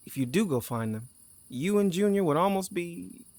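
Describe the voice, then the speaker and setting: A man's voice: high voice, lively intonation, moderate pace, a little mellow, no echo. A man playing an easygoing, teasing, candid crewmate on a mission in a naturalistic audio drama, talking casually. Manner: casual, conversational.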